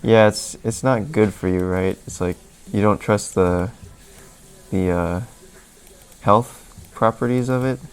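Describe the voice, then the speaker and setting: A man's voice: on the low side, takes it slowly, smooth. A man hosting a live stream, chatting casually and rambling as he answers questions from chat, a little sniffly. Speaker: livestream host.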